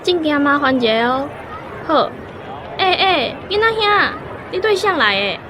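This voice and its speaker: A young Taiwanese cinema gate girl in a radio drama. Her voice is high, friendly and brisk as she deals with customers. She sits amid clearly noisy crowd ambience. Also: sing-song. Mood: busy, calling out.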